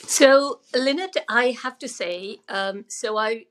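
A woman's voice: on the high side, slow pace, fairly bright tone, slight background noise. A middle-aged woman from Sri Lanka, telling her personal story conversationally as a podcast guest. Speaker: podcast guest, former doctor and wellness coach.

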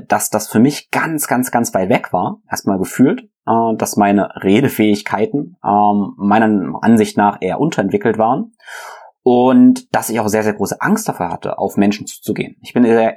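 A man's voice: lowish voice, darkish tone. A young man hosting his own podcast, talking earnestly and reflectively about his path, with frequent fillers and repeated words. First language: German. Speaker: podcast host. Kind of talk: reflective solo podcast monologue.

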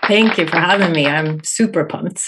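A woman in her thirties, born in Finland and raised in Sweden, speaks English as a later language. She chats as an energetic guest, laughing often and using fillers. Her voice is very low. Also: normal speed, crowd noise, noisy background. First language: Finnish or Swedish. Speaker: real estate investor, podcast guest. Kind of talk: casual interview.

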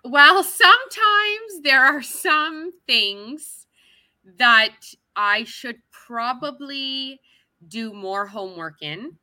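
A woman's voice: sits high, expressive, extremely slow, bright, forceful tone, no room sound. A woman from Canada, talking as an interview guest in a chatty, upbeat way. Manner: chatty and upbeat, with frequent restarts and laughs. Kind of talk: casual interview chat.

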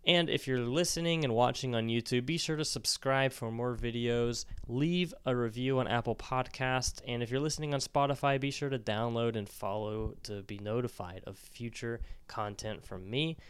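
Smooth-voiced man giving friendly advice. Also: mid-range voice, normal speed, few pauses, no echo. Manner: conversational, encouraging, restarts mid-word. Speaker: Spanish-learning podcast host. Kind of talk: casual solo podcast advice.

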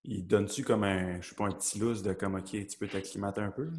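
A man with a fairly low voice who asks questions in a casual, hesitant way. He sounds loose and conversational. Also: fairly even intonation, fast talker.

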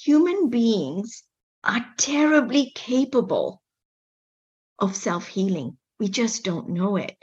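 A middle-aged woman from Kenya, a medical doctor telling her story in a casual interview, animated, often repeating words with playful asides. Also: fairly high pitch, slow pace, muffled recording.

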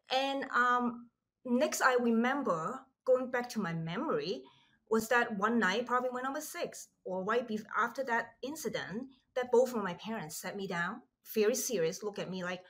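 A middle-aged woman from Hong Kong talks as a podcast guest, earnest and reflective. Her voice sits a little high. She tells her story in a lively conversational way, often restarting her sentences. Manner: earnest, reflective, with frequent restarts and animated asides.

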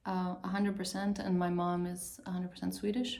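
A woman's voice: fairly low pitch, little pitch movement, fairly quick, vocal fry, darkish tone. A woman who grew up in Portugal, a filmmaker guest on a podcast, talking reflectively and hesitantly with many ums and restarts. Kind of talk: casual interview chat.

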